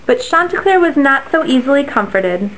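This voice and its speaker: A young woman narrating a fable with a steady, clear reading for a class puppet show. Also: high voice, normal speed, low-quality recording. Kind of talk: read-aloud storybook narration for a class project.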